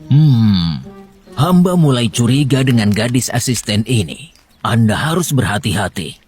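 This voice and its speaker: A man's voice: medium pitch, sing-song, measured pace, a little mellow, faint music behind. A man voicing a loyal, wary talking horse in a children's fairy tale, speaking formally and deferentially. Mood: suspicious.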